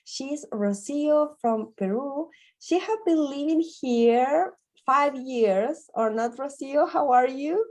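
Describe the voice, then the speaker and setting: A woman hosting an interview in English, asking halting questions full of ums. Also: high-pitched, darkish tone. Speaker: host. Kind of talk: casual interview.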